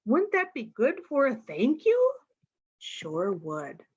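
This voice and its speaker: A woman reading a picture book aloud to young children in an expressive way, pausing to explain the pictures. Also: fairly high pitch, breathy edge, lo-fi sound. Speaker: storytime reader.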